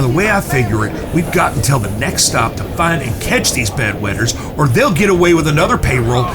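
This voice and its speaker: Man acting as bossy Old West outlaw leader, highish voice, impatient, music behind. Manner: foul-mouthed, impatient, explanatory. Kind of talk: audio drama acting.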